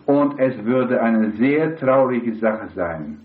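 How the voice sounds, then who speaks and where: Hoarse older male preacher, slow and halting, asks the congregation questions; echoey, tinny recording. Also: mid-range voice, animated. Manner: halting, self-correcting delivery with questions to the congregation. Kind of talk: sermon.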